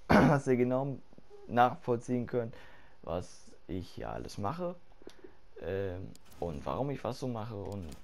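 A hoarse man talking through his game setup in casual commentary, swearing freely and thinking aloud with fillers, late at night. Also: on the low side, slight background noise. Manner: loud and excited at the start, quieter later. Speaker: gaming YouTuber. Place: home room at night.

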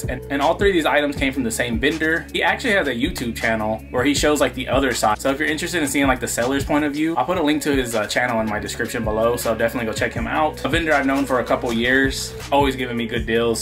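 A young man with an American accent talks casually to his viewers. His pace is brisk and his pitch sits in the middle of the male range. Music plays clearly behind him. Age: young adult. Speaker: vlog host, thrift reseller. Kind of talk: casual vlog chat to camera. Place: small indoor room with shelves of collectibles.

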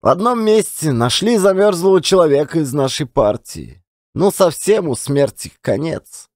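A man voicing a pious old Siberian peasant telling his story in folksy, drawn-out, old-fashioned speech. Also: on the high side, very animated, darkish tone, dry recording, studio quality. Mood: weary recounting. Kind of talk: dramatised audiobook storytelling.

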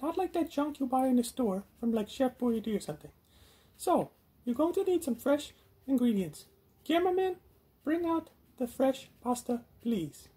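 Man putting on a high-pitched exasperated lady chef puppet. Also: very animated, moderate pace, warm tone, breathy edge, full character voice. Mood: cheerful, presenting. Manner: indignant, complaining, theatrical. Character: exasperated, bossy female chef puppet, comic cooking host. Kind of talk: comic puppet cooking show. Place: home garage.

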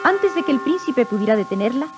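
A high-pitched woman tells a fairy tale as a storybook narrator. Orchestral music plays prominently behind her on a 1950s record. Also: sing-song, quick pace.

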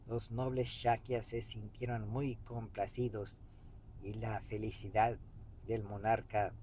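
A man in his fifties reading a book aloud meditatively in a halting way, pausing mid-sentence, recorded inside a car. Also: lowish voice, rough recording. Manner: halting, pauses mid-sentence, clears throat. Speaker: amateur reader of a book. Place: inside a parked car by a street. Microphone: camera an arm's length or so away. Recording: steady background noise inside a car.

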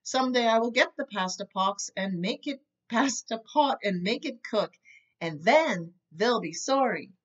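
A woman's voice: fairly high pitch, expressive, a little slow, dull audio. A woman voicing a naive, eager young male helper from a storybook, exclaiming, on webcam audio. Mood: indignant.

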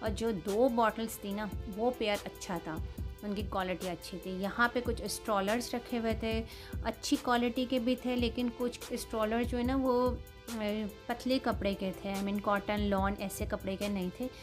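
A woman's voice: fairly high pitch, fairly even intonation, normal speed. A woman in her thirties with a Pakistani Urdu accent, talking casually in a shopping haul vlog and frankly judging prices and quality item by item. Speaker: vlogger. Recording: voice-over with loud background music. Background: music.

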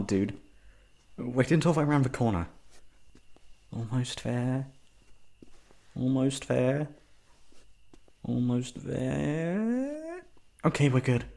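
A man's voice: on the high side, creaky, a little mellow. A man playing a shy, lovestruck young man rambling hesitantly and breathily in an ASMR roleplay. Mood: nervous self-calming. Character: shy, flustered, lovestruck young man after a first date.

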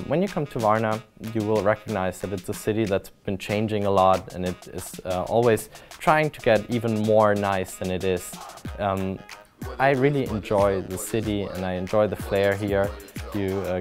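Young male student, lowish voice, relaxed pitch for the city, music underneath. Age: young adult. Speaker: medical student. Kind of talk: interview testimonial. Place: indoor library room.

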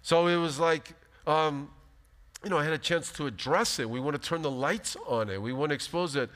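A pastor in his fifties giving an animated, emphatic sermon from a church stage. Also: fairly high pitch, normal speed. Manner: animated, emphatic preaching with big gestures. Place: large church stage, indoors. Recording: long hall reverb.

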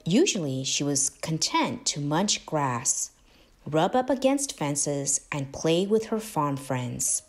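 Slow, animated woman reading a storybook. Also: deep voice, faint music behind. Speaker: storybook narrator, host of read-aloud channel. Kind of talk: expressive children's read-aloud.

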